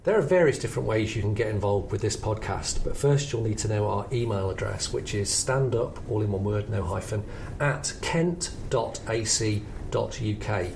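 A man's voice: fairly low pitch, moderate pace, vocal fry, hardly any pauses, over music, poor audio. A man co-hosting a podcast, talking in a reflective, explanatory, conversational way. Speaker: podcast host and comedy academic.

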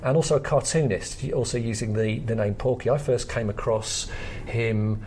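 A man's voice: mid-range voice, normal speed, darkish tone, slight background noise. A British man hosting a podcast in a conversational, explanatory style. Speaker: podcast host, comedy academic.

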